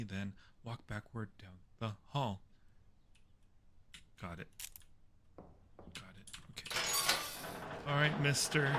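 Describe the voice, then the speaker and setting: A man casually commenting on a game for his stream viewers, playfully muttering to himself and reading prompts aloud. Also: medium pitch. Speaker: livestream host playing a horror game. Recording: clearly noisy background.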